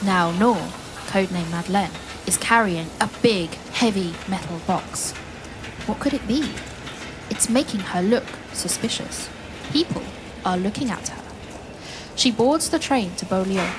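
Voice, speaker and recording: An actress as a woman storyteller leading a children's audio adventure, theatrical and direct, leaving dramatic pauses. Her pitch sits in the middle for a woman. Clear ambient noise runs behind her. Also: natural voice. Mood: suspenseful narration. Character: adventurous woman storyteller guiding listeners on a quest. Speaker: storyteller of a participatory audio play.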